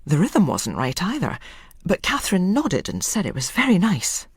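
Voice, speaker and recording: Low, husky woman reading a story. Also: no echo, studio quality. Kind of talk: literary story narration.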